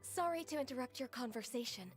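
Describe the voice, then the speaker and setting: A shy, courteous young game heroine with a high voice. She is gentle and anxious, hesitating softly over faint music. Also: lively intonation, brisk. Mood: hesitant, polite. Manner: soft-mannered, hesitant, courteous. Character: gentle, timid, polite, anxious young woman. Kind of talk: video game voice acting.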